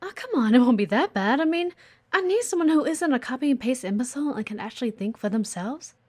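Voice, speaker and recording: Woman acting a haughty, high-voiced female office executive. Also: animated, fast, darkish tone. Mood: coaxing, bossy. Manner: teasing, haughty, theatrical, sighing. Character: sharp, demanding, flirty female office executive, condescending yet playful. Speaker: voice actor in an audio roleplay. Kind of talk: intimate audio roleplay acting.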